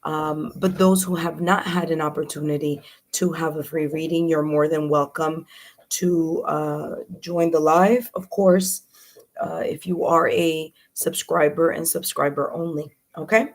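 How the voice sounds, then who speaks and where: A woman with a slightly low, hoarse and raspy voice hosts a live tarot stream. She chats in a welcoming way, talking straight to her viewers and filling pauses with frequent ums and uhs. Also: steady tone. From New York. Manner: chatty, welcoming, with frequent fillers. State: hoarse, raspy from early morning and much talking.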